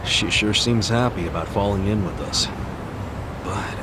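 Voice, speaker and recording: Actor as low-voiced, loyal street tough. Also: male voice, unhurried, heavy background noise, close to an everyday voice. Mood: pensive. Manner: casual, slangy. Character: loyal, brash, good-hearted middle-aged street tough. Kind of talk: English video game voice acting.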